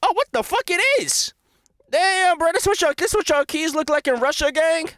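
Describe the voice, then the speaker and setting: A man's voice: extremely high pitch, expressive, normal speed, a bit bright, no room sound, clean studio sound. A young American man giving casual, slangy, profane reaction commentary, swinging from mutters to exclamations. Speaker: reaction video host.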